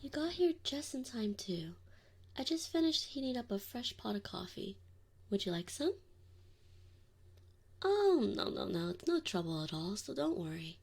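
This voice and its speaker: A woman playing a doting, gently teasing wolf mother in an ASMR roleplay, speaking softly and often in a whisper. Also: on the high side, very animated, gravelly, husky, exaggerated voice. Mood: warm, teasing. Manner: soft, slow, often whispered. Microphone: binaural mic.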